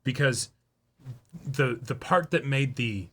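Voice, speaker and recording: Bright-voiced man chatting as co-host. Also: medium pitch, takes it slowly, husky. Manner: agreeable, interjects, voices imagined thoughts. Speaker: co-host of an anime reaction show. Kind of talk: casual discussion.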